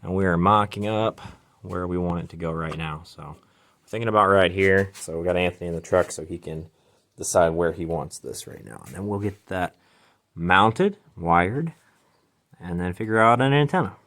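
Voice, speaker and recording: A man's voice: deep voice, moderate pace, fairly bright tone. A man hosting a casual DIY garage vlog, talking informally through a hands-on job as he works. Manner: informal, talks while working. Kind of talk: casual hands-on how-to explanation.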